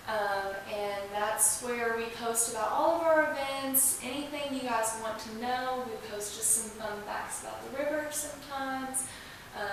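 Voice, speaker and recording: A woman's voice: a little high, fairly bright tone. A woman speaking as an interview guest in a casual, chatty style. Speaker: podcast guest representing a museum. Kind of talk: casual chat. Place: echoey indoor room. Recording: echoey room, distant mic, hiss.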